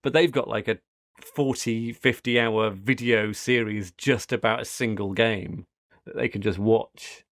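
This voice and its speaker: A man's voice: fairly low pitch, steady tone, unhurried, fairly bright tone, no echo, clean studio sound. A middle-aged British man hosting a podcast, chatting casually in a rambling, anecdotal way. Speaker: podcast host. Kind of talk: casual tech podcast chat.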